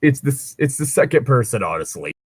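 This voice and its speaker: A man's voice: mid-range voice, very animated, fairly quick, breathy edge, no echo, studio quality. A man chatting casually and reading aloud as co-host, breaking off for sardonic, sweary asides. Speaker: co-host of a fan fiction reading show. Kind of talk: casual banter and read-aloud with commentary.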